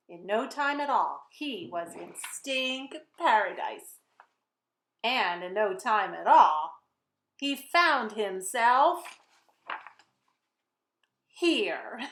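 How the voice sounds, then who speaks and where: A woman with a fairly high voice reads a children's story at an unhurried pace. She is expressive and speaks straight to her young listeners. The recording has a clear roomy echo. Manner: expressive, addresses young listeners directly with asides. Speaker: children's author reading her picture book. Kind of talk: animated children's story read-aloud.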